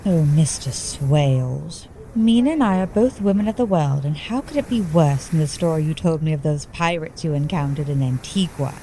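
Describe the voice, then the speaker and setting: An actress playing a genteel, romantic young bride-to-be in a radio play, with gushing, proper period diction. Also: female voice, deep voice, expressive, normal speed, hardly any pauses, mellow, a little hiss or hum, rough recording. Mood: eager, curious.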